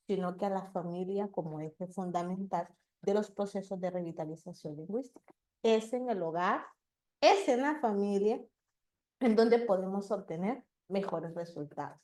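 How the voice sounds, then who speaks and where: Slow, deliberate woman presenting. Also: mid-range voice, darkish tone. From Honduras. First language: Garifuna. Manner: slow and deliberate, teacher-like with call and response. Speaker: guest speaker, language promoter. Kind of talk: presentation to an audience.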